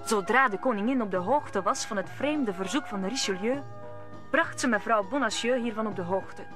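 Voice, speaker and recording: A woman's voice: mid-range voice, normal speed. A woman narrator telling the story of a radio play in scripted storytelling style. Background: music.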